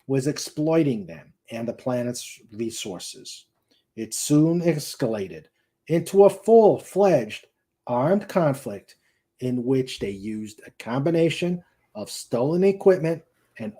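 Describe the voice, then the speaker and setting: A man's voice: mid-range voice, warm tone. A man giving a dramatic narration of a novel, with emphatic delivery and the odd stumble. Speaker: host and narrator.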